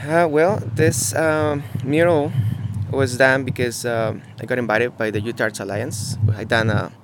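A man from Mexico, talking casually outdoors in an on-site interview, halting and searching for words with many fillers. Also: mid-range voice, fairly even intonation, very noisy. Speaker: muralist interviewee. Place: outdoors beside a mural.

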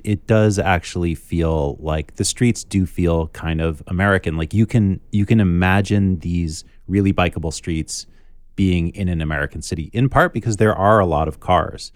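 A man co-hosting a podcast, chatting casually in a relaxed, friendly way. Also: low voice, no echo. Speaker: podcast co-host. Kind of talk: casual chat.

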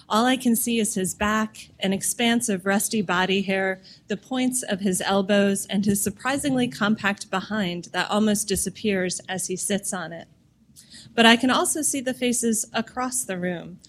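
A woman writer reading a piece of literary prose aloud. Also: mid-range voice.

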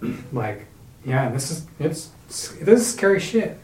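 A man's voice: a little high, very animated, takes it slowly, a little mellow. Blunt, swearing man in a roomy room. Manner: blunt, swears freely, rambling restarts. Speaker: podcast co-host. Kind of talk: casual chat. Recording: roomy with faint noise.

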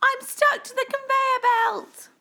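A woman's voice: extremely high pitch, level tone, slow, bright, forceful tone, voice pushed up, full character voice. A woman voicing a helpless, panicky carrot in a children's storybook, squealing and pleading. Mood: panicked.

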